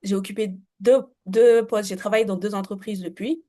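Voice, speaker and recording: A woman's voice: mid-range voice, steady tone, moderate pace, dark tone. Casual young woman interviewer.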